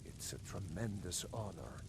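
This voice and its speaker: An actor playing a dignified, commanding Nord jarl, stern but gracious, in a fantasy video game. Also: male voice, low voice, lively intonation, unhurried, a little hiss or hum. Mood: firm. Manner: stately, authoritative. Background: music.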